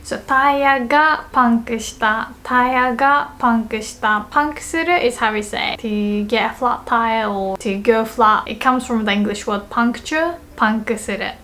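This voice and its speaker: A woman's voice: on the high side, a little slow, hardly any pauses, a bit bright, a little hiss or hum. A young woman in her twenties teaching a language lesson, patiently explaining and repeating each example sentence twice. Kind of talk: video lesson. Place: small indoor room with bookshelves.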